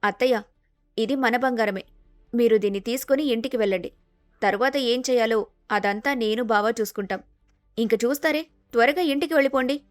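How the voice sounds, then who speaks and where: A clever, determined young daughter-in-law from a storybook, voiced by a woman. Her voice is fairly high and earnest, respectful yet firm. It is recorded dry. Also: a little fast, long pauses, no echo, faint music behind, lightly acted.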